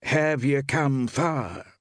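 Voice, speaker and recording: A man voicing a cool, inquisitive village shopwoman with a slow, deliberate delivery, in an audiobook story. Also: mid-range voice, no room sound, studio quality, voice pushed up. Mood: cool, guarded.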